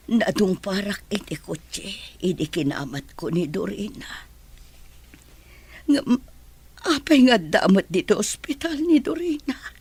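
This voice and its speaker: A woman with a Filipino accent playing a humble, guilt-ridden, injured nanny in an audio drama, speaking in a halting, plaintive way. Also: medium pitch, a little mellow, slightly rough, heavily acted. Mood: dazed, weak. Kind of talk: Ilocano audio drama acting.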